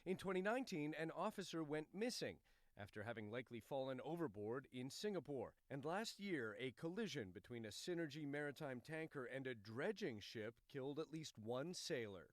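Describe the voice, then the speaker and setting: A man delivering scripted news narration in a measured, informative way. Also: medium pitch, big pitch swings, no echo.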